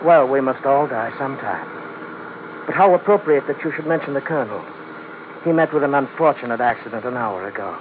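An actor playing a sly, courteous Chinese merchant crime boss in an old-time radio drama, speaking formally with polite menace. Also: male voice, mid-range voice, expressive, natural voice. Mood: calm menace. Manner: formal, elaborately polite, unhurried. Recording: noisy, tinny vintage radio recording.

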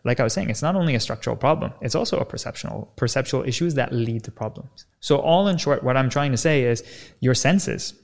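A man speaking as a kinesiologist guest on a podcast, explaining in an energetic, teaching way and often checking in with "right?". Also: mid-range voice, fairly quick, gravelly.

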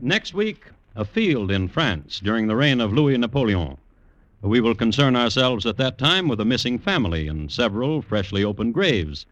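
A man playing a droll crime historian who narrates in a formal, measured, deadpan 1950s radio style. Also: medium pitch, no echo, lo-fi sound, lightly acted. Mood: wry, deadpan. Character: dry, droll crime historian hosting his true-crime tales. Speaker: host and narrator. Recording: noisy vintage radio recording.

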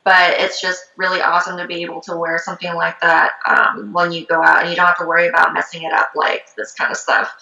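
Bright-voiced woman, native Korean speaker. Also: lowish voice, fast talker, vocal fry, few pauses. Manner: relaxed, many fillers like um and like. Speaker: traditional shaman. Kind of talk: casual interview chat. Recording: roomy, slightly dull sound.

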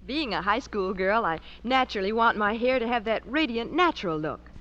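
Actress as high school girl, American, earnest, slightly high, crisp bright tone, 1940s radio ad, thin dated sound. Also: animated, fairly quick, dull audio. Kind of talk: 1940s radio advertisement testimonial. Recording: thin vintage radio recording.

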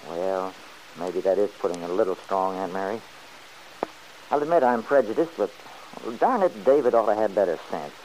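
A man's voice: on the low side, expressive, moderate pace, darkish tone, natural voice, poor audio. An actor playing a stubborn, good-hearted, folksy man who grumbles plainly in an old-time radio serial. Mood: grumbling. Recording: hissy old radio recording.

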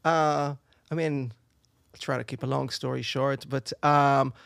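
A man's voice: medium pitch, fairly even intonation, measured pace, creaky, fairly bright tone, clean tone, dry recording, clean studio sound. A man from Israel, a native Hebrew speaker, chatting casually as an interview guest, rambling and searching for words. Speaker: interview guest, singer and performer. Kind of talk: casual chat.

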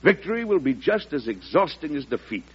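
A man's voice: on the high side, big pitch swings, brisk, husky. An American man acting an earnest, weary President pleading for peace in an old-time radio drama, speaking with oratorical emphasis. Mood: earnest, determined. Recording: dull, lo-fi vintage radio recording.

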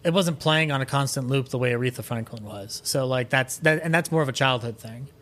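Male podcast guest, mid-pitched, rambling enthusiast, casual and conversational. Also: brisk, a bit bright. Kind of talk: casual chat.

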